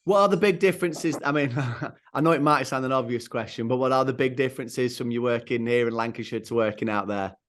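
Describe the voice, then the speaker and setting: A man's voice: medium pitch, fairly quick, hardly any pauses. A man hosting a football club show, presenting and interviewing a guest in an upbeat, jokey way.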